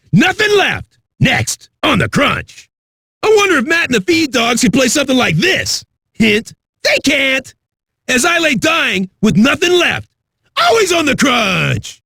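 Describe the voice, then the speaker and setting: An actor playing a cocky, crude, manic metal radio DJ with a raspy, shouty delivery. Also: male voice, very high voice, no echo, studio quality, exaggerated voice. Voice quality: raspy, gravelly. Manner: brash, profane, shouty. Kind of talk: hard rock radio DJ patter.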